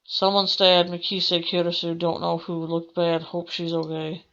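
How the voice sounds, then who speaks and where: A woman's voice: lowish voice, level tone, measured pace, a bit bright, highs cut off. A woman hosting a gaming let's play, reading aloud in a dramatic manner with the odd stumble. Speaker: gaming channel host.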